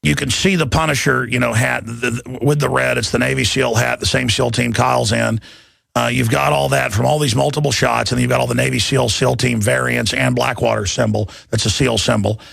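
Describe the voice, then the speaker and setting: Very gravelly, agitated male talk radio host. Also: medium pitch, fairly even intonation, brisk, a bit bright, no echo, studio quality. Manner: agitated, rambling, emphatic, with repeated words. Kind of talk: impassioned unscripted talk radio rant. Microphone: close studio broadcast microphone.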